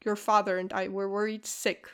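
A woman voicing a worried young father, calling out loudly and urgently. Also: mid-range voice, flat delivery, moderate pace, clear, resonant tone, pitched below the performer's own voice, natural voice. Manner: loud and urgent.

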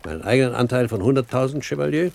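An actor playing an eloquent, composed and authoritative older pirate captain, a cold-blooded gentleman, in a German radio play. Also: medium pitch, expressive, very fast, gravelly. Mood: composed, calculating. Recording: noisy 1970s radio production.

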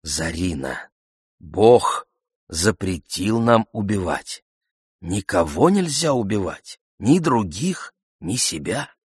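A solemn father in an audiobook, read by a man. The voice is low and mild, strict but withdrawn, admonishing in measured phrases, recorded dry. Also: sing-song, husky, no echo, full character voice. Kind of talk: audiobook character voice.

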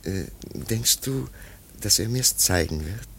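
An actor playing an earnest, reflective former art pupil in a radio drama, speaking in a halting, fervent, musing way. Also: male voice, on the low side, lively intonation, creaky, a bit bright, slight background noise, exaggerated voice.

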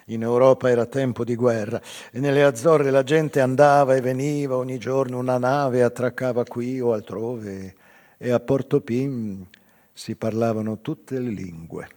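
An actor playing a melancholy old whaler in a measured, reflective theatrical monologue about his life. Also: mid-range voice, steady tone, darkish tone, natural voice. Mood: tender nostalgia. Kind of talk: literary dramatic monologue. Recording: reverberant stage hall, guitar music behind. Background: live guitar music.

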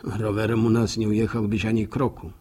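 Husky, folksy village storyteller. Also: male voice, on the low side, flat delivery, a little fast, mellow. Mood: earnest, grumbling. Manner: folksy, reflective, proverb-laden rambling. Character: folksy village man narrator, musing, neighbourly, plain-spoken. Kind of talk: radio drama monologue in rustic storytelling.

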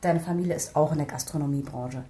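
An actress playing a composed, pragmatic detective in a naturalistic radio crime drama, speaking in a matter-of-fact, explanatory way. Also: female voice, low voice, fast talker, mellow, lightly acted. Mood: placating, firm. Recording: roomy sound with faint noise.

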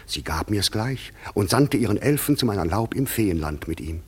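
An actor playing a proud, commanding fairy king in a classic radio play, declaiming verse in a measured, authoritative way. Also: lively intonation. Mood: pitying, reflective. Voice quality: husky, low.

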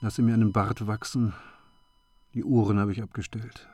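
An actor playing a bereaved, broken father in a radio drama, speaking in stark, measured short sentences. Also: on the low side, level tone, a little mellow, husky. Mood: bleak grief. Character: grief-stricken bereaved father, broken, proud, brooding.

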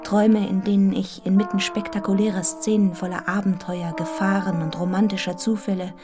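An actress playing an earnest young village schoolmistress who tells her story in a measured, articulate radio drama narration. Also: medium pitch, flat delivery, close to an everyday voice. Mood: reflective. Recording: music behind the voice.

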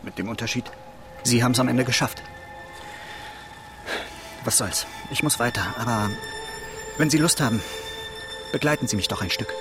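An actor playing an aggrieved amateur investigator in a radio drama, talking in an insistent, resentful way. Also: male voice, mid-range voice, moderate pace, pauses often, music in the background, natural voice. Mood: bitter, frustrated. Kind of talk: naturalistic radio drama acting.

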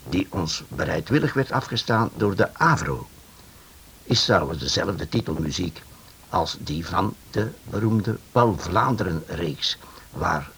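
A man's voice: deep voice, creaky, slight background noise. A man reading closing credits as a radio announcer in 1950s style.

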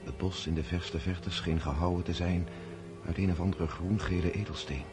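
Actor as a low-voiced, awestruck count remembering battle. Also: male voice, fairly even intonation, normal speed, lightly acted. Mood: awed, reflective. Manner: lyrical, descriptive. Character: Pierre, awestruck count recounting a battle he witnessed. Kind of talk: radio drama first-person narration. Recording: music behind the voice.

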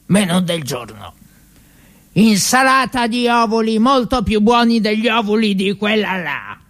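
A woman's voice: medium pitch, very animated, a little slow, a bit bright. A woman voicing a spiteful, proud and rude male trattoria cook in comic radio theatre, boastful and scornful.

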